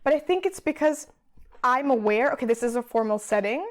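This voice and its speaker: A woman from Austria talks as a podcast guest in a relaxed, chatty way, thinking aloud as she goes. Her voice sits somewhat high for a woman. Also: fairly quick. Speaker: guest, PhD student. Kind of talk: casual interview chat.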